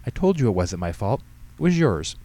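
A man's voice: lowish voice, big pitch swings, fast talker, mellow, pitched above the performer's own voice. A man voicing an indignant, defensive teenage girl who protests insistently in an audiobook story. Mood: smug. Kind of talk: audiobook character dialogue.

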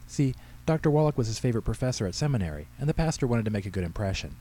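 A man's voice: mid-range voice, sing-song, racing, dark tone. A man voicing a flustered middle-aged woman church secretary who explains things in an anxious, chatty, apologetic way in a story reading. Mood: anxious explaining.

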